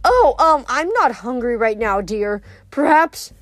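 A woman's voice: fairly high pitch, big pitch swings, a little slow, no echo. A woman voicing a timid teenage male satyr in a storybook, stammering, yelping and pleading nervously.

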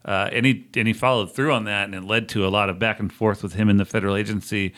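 Rambling, lower-voiced male interviewer. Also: steady tone, fast talker, fairly bright tone. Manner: rambling, roundabout questions. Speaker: interviewer, host. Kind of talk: casual conversational interviewing.

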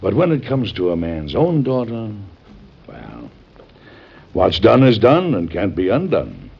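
Gravelly, fussy overprotective father. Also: on the low side, huge pitch swings, measured pace, heavily acted. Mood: softened, hesitant. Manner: stern, fretful, blustering. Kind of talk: old-time radio drama acting. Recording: dull lo-fi old radio recording.

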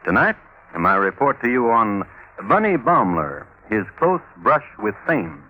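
A man hosting and narrating in 1950s radio crime storytelling style, wry and measured with theatrical pauses. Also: fairly low pitch, very animated, bright, forceful tone, low-quality recording. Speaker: host and narrator. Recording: old radio recording with faint noise.

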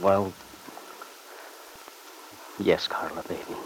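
An actor playing a moody, boyish young Italian-American husband in a classic radio drama, plain-spoken and grudging. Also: male voice, low voice, fairly even intonation, drawn-out words. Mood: uneasy, subdued. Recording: noisy old radio recording.

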